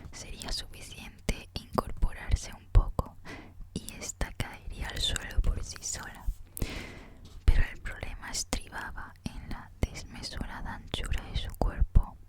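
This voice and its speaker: A woman reads a book aloud in ASMR style, in a breathy, unvoiced whisper with a soft, unhurried manner. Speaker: reader, host of a reading series.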